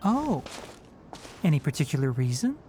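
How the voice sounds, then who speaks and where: Light-voiced, bookish young forest ranger. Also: male voice, on the high side, sing-song, moderate pace, loud music behind the voice. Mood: instructive, frank. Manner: matter-of-fact, instructive, precise diction. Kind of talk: video game character acting.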